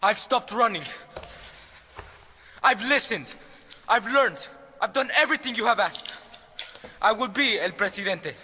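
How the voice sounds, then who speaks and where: High, sharp-voiced pleading teenage boy. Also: lively intonation, measured pace, pauses often, full character voice. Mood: pleading, resolute. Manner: pleading, insistent. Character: earnest teenage boy, dictator's defiant dutiful son. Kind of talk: cinematic video game acting. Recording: reverberant hall, some noise.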